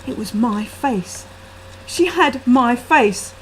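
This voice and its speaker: A woman's voice: on the high side, takes it slowly, slight background noise, rough recording. A woman reading her own gothic short story expressively, recorded on a laptop webcam microphone. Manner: expressive, acting out the dialogue. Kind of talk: dramatic amateur reading of gothic fiction. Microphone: built-in laptop webcam microphone.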